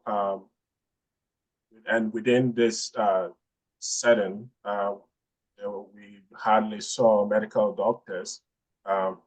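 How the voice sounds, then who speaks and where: A researcher from Ghana answering a webinar question, speaking haltingly with many fillers and restarts. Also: male voice, on the low side, darkish tone, low-quality recording. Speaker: researcher presenting.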